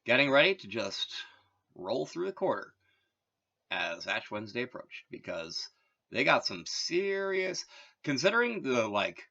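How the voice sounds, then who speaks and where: A man's voice: medium pitch, big pitch swings, unhurried, vocal fry, bright, forceful tone, highs cut off. A man from Massachusetts talking in a rambling, casual monologue, full of filler words and wry asides. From Massachusetts, USA. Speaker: vlogger.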